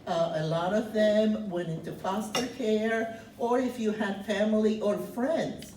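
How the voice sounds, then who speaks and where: Woman in her 70s, loud and earnest personal testimony, mid pitch, mic set back in a roomy space. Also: noisy background. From Cuba. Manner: speaks loud, earnest, pauses on many fillers. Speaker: guest speaker sharing her refugee story. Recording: roomy, distant mic, faint ambience.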